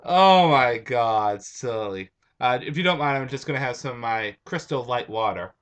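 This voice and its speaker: Young male vlogger, bright and a touch raspy, nasal from allergies, loose and rambling. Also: mid-range voice, lively intonation, normal speed. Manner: rambling, restarts. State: stuffed up from allergies. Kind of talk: casual vlog chat. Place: at home.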